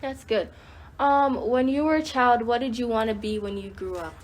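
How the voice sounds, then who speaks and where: A young woman interviewing her grandmother, reading prepared questions with hesitant pauses. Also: fairly high pitch. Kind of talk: oral history interview questions. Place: home in Chicago.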